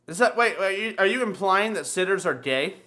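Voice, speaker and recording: Crude animated podcast guy, kinda high bright voice, swears a lot, telling stories casually. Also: fairly quick. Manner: crude, foul-mouthed, animated storyteller. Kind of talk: casual bantering podcast chat and storytelling.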